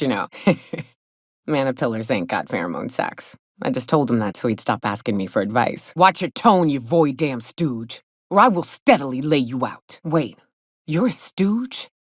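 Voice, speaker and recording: An actress playing a gruff, hard-drinking female monster hunter in a video game, sardonic and blunt, with a loose, earthy drawl. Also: deep voice, no room sound, studio quality. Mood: wry, mocking, then angry, threatening. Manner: sardonic, blunt, swaggering, colloquial swearing. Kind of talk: video game character dialogue. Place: close, dry studio recording.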